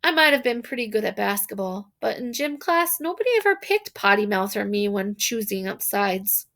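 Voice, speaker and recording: A woman reading a children's novel aloud in an expressive, animated storytelling manner, with emphasis on key words. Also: mid-range voice, normal speed. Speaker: storybook reader.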